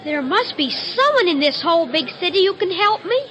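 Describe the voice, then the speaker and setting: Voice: very high voice, sing-song, fairly quick, lo-fi sound. An actor voicing a naive, earnest, confused young innocent from heaven in an old-time radio comedy. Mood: forlorn. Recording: old radio drama, crowd behind.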